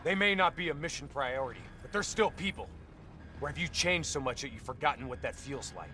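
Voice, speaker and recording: An earnest, principled soldier in a video game. His mid-pitched voice moves a lot in intonation as he insists, with music playing behind him. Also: expressive, fairly quick, pushed and bright. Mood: earnest, reproachful. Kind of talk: dramatic video game dialogue.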